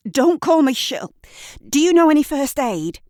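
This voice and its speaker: A woman narrator voicing a shocked female neighbour in an audio drama, urgent, sharp and commanding. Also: sits high, very animated, normal speed, no room sound, studio quality, pitched above the performer's own voice.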